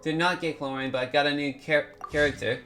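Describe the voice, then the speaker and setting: A man hosting a gaming video, giving casual, halting commentary as he thinks aloud. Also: a little high, fairly even intonation, music in the background.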